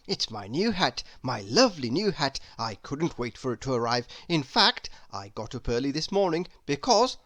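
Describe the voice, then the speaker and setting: A woman voicing an excitable, silly man from a children's storybook who rambles on in repetitive chatter. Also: extremely low pitch, normal speed, few pauses, no echo, heavily acted. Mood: gleeful, excited. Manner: rambling, repetitive chatter piling clause upon clause. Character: excitable, silly, endlessly babbling cartoon man.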